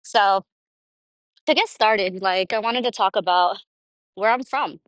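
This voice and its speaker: A woman's voice: mid-range voice, a little slow, no echo. Young woman, podcast host, rambling casual talk, dry close sound. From Florida, United States. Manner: rambling and conversational, many fillers. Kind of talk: casual personal monologue.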